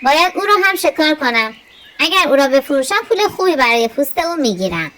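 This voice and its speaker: Woman doing a hunter guy in a kids tale, high pitched, expressive, a bit of a schemer. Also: slight background noise. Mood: pleased, greedy. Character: opportunistic male hunter, timid when threatened.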